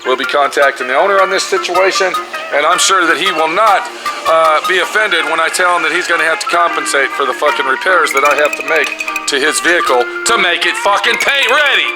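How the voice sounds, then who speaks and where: Raspy angry body shop guy, 50s, cussing every other word, cam held close, music going under it. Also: a little high, animated, brisk, rough recording. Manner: heavy swearing, pissed-off ranting. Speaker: custom auto body shop owner. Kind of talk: angry workshop vlog. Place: large indoor auto body garage. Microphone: camera held close at arm's length.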